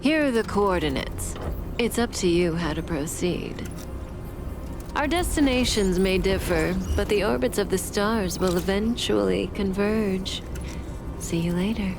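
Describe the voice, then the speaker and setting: A woman voicing a calm, enigmatic female outlaw in a video game, measured, teasing and seductive. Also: medium pitch, natural voice. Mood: cool, cryptic. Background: game music.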